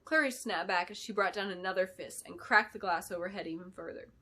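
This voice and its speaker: A woman narrating a fantasy novel in an expressive, deliberate storytelling style, as an author reading aloud. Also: mid-range voice. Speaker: author reading her book. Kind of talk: dramatic scripted fantasy narration.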